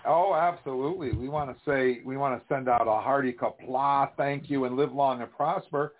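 An upbeat, enthusiastic male podcast host who guides the show with chatty energy at a middling pitch. His voice comes through narrow and tinny, like a phone line. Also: moderate pace. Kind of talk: casual chat.

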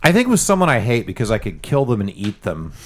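A man guesting on a radio talk show, joking in a loud, expressive way during casual chat. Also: mid-range voice, quick pace, crowd noise. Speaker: guest podcaster.

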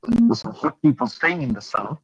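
A hoarse South African man, a pastor, introducing himself on an online panel, with frequent filler pauses. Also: a little high, big pitch swings, dry recording, muffled recording.

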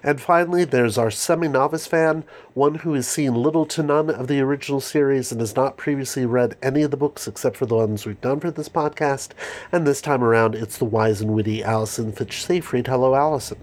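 A man's voice: mid-range voice, brisk, a little mellow. A congested man hosting a book club podcast, talking in a casual, dry, self-mocking way. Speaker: podcast host.

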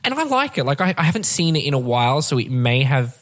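A man's voice: mid-range voice, sing-song, quick pace, a bit bright. A man hosting a casual film discussion, giving opinions in a slangy, conversational way. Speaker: podcast host.

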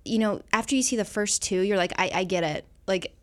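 A woman's voice: medium pitch, a little fast. A woman guest co-host discussing films casually, in a conversational and opinionated way.

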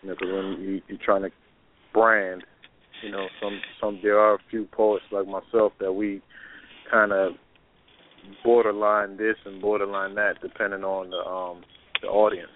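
A man who performs poetry, talking casually and haltingly in a panel discussion over a phone line. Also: on the low side, level tone, a little hiss or hum. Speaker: poet and showcase promoter. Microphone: telephone. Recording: tinny phone-line call-in audio.

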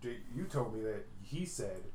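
A man co-hosting a podcast, bantering with friends in an excitable, mock-defensive, jokey way. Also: lowish voice, moderate pace. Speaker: podcast co-host. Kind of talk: casual banter among friends. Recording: roomy room with clear background noise.

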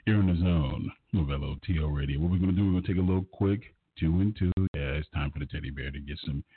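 Very deep, husky male radio host. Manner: effusive, flattering patter with drawn-out phrases. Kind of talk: radio music show hosting. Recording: muffled lo-fi audio, roomy echo.

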